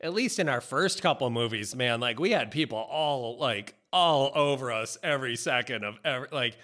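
A man's voice: mid-range voice, animated, normal speed, sharp tone. A middle-aged American man chatting casually and off the cuff as a podcast co-host on a studio mic. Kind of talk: casual chat. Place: small home office. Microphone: boom-arm studio mic, headphones.

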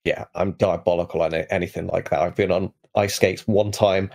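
A man's voice: sits low, fairly even intonation, brisk, creaky, a little mellow. A British man in his thirties chatting casually as a player on a podcast. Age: 30s. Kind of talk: casual chat.

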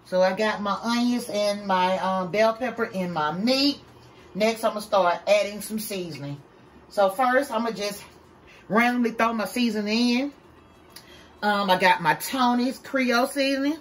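A folksy woman talks her viewers through a home recipe. Her tone is chatty and relaxed, and her voice sits at a middle pitch. She is recorded in a home kitchen by a handheld camera, with faint noise behind her. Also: normal speed. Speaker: vlogger. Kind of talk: casual cook-with-me vlog. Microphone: camera held by someone else.

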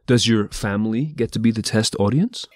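A man hosts a podcast interview in a slightly low voice. His phrasing is measured, articulate and reflective, and the recording is very dry, with no room echo.

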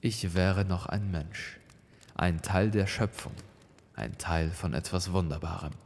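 A man's voice: low voice, unhurried, gravelly, a little mellow, long reverb tail, exaggerated voice. Man playing defiant cardinal, advanced middle age, firm, solemn, oratorical, audio horror story. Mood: quiet, moved. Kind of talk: dramatic audio story dialogue.

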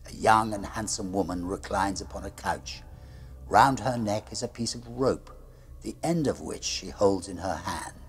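A middle-aged man reading a gothic horror tale as a diary, in a grave, deliberate manner that sinks to a hush at the ends of phrases. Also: low-pitched, vocal fry. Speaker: storyteller reading a classic ghost story aloud. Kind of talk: dramatic gothic horror narration, read as a diary. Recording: music under the voice. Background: music.

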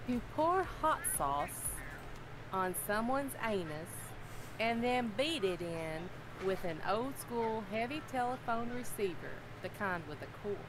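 A woman in a gaming group on voice chat, reading aloud in a wry, deadpan way. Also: fairly high pitch, unhurried, background noise. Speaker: raid group member on voice chat. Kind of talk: casual voice chat while gaming.

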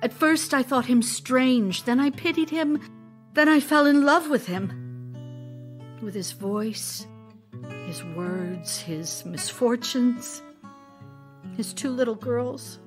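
Passionate stage actress, lighter higher pitch, slow deliberate phrasing, heartfelt, theatrical audio drama. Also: female voice, soft background music. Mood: anguished, lovelorn. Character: Olga Knipper, ardent Russian stage actress and lover. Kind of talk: audio drama acting, letters read as speech.

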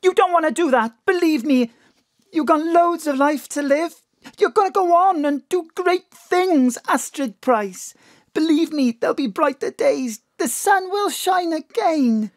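A polite sad old-fashioned mum in a radio play, slow talker, dry close sound. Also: female voice, sits high, expressive, no room sound. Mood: urgent, reassuring. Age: 30s. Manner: polite, hesitant, earnest. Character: Fran, polite, dignified, grieving old-fashioned mother in her thirties. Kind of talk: audio drama acting.

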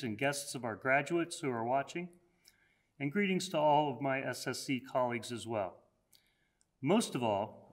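An older man at a podium delivering a prepared commencement address, measured and earnest, slowing down on key phrases. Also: mid-range voice, a bit bright. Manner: measured, earnest, slows down for emphasis. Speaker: college president giving commencement address. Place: college hall, at a podium.

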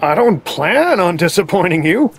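A man's voice: sits high, very animated, normal speed, creaky, exaggerated voice. An actor playing an affable, slightly nervous male dinosaur park manager in a video game, chatty in manner. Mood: wary.

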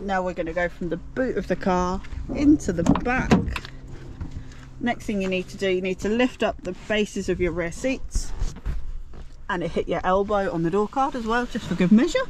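A woman's voice: mid-range voice, normal speed. A young British woman giving a casual, jokey hands-on tutorial with playful, self-deprecating slang. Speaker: vlogger presenting a car DIY guide. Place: residential street, outside and inside a car. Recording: noisy outdoor car recording.

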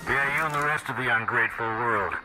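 A man voicing a jaded, dryly resentful theme-park ride operator in a video game, with deadpan announcer patter. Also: medium pitch, brisk, music in the background, natural voice, low-quality recording. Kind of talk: video game cutscene acting.

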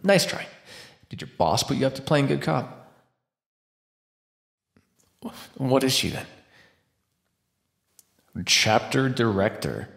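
A man's voice: medium pitch, sing-song. A man voicing a starving captive vampire in an immersive roleplay monologue, sardonic and flirtatious with a desperate edge. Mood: sarcastic, bitter. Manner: sardonic, teasing, breathy pauses and sighs. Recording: roomy, echoing sound.

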